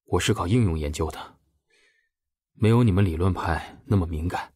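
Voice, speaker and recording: An actor playing an earnest, reserved science professor with a husky voice in an audio drama. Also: male voice, deep voice, normal speed, darkish tone, no room sound, studio quality. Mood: modest.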